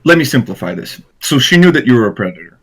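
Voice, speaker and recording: A man's voice: mid-range voice, big pitch swings, fast talker, slightly rough. A man questioning someone on a recorded call in an insistent, confrontational, off-the-cuff way. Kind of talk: spontaneous interrogation on a call.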